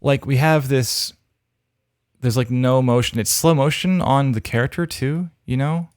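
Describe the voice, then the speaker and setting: A man's voice: mid-range voice, measured pace, noisy background. A man hosting a livestream, casually thinking aloud as he gives frank critique of demo reels on a close mic. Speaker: host reviewing demo reels.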